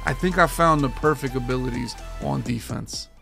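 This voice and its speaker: A man in his thirties, a gaming streamer talking casually and energetically to his viewers, his voice a bit hoarse from overuse. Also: medium pitch, normal speed, over loud music. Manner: energetic, slangy, addresses viewers as boys and guys. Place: home game room with framed pictures.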